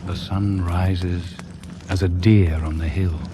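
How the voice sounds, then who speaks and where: A solemn hunter-shaman of a radio play. His voice is very low and he intones each poetic line slowly and ritually. The old broadcast recording is clearly noisy. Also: steady tone. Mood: solemn reverence. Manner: incantatory, slow, ceremonial. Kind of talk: 1980s radio drama, poetic incantation.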